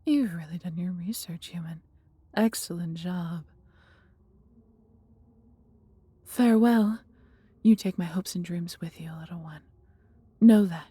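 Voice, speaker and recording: A woman voicing a huge, proud, protective female dragon in a fantasy audio roleplay, with breathy, husky delivery and tender, formal, theatrical phrasing. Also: on the low side, moderate pace, dark tone, dry recording. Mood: wistful.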